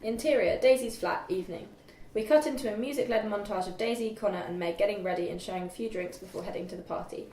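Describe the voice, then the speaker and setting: A woman's voice: mid-range voice, fast talker, a little mellow. A young woman reading scripted stage directions as narrator at a table read, a little distant in an echoey room. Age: young adult. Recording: echoey room, distant mic, faint noise.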